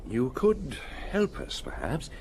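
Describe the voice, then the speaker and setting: An actor playing a good-humoured young police inspector in a radio drama, polite and lightly wry. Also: male voice, medium pitch, huge pitch swings, takes it slowly, darkish tone, a little hiss or hum, heavily acted. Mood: inquiring. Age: young adult.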